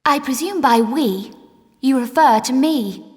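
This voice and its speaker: A young adventuress in a video game. Her voice is high and cheeky, every line wry and sarcastic. It rings in a long, cavernous reverb. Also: lively intonation, unhurried. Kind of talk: video game character dialogue.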